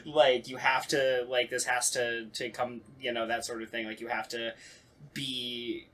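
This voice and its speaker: Casual, halting man talking shop. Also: mid-range voice, little pitch movement, a bit bright. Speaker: voice actor on a talkback show. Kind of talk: casual chat.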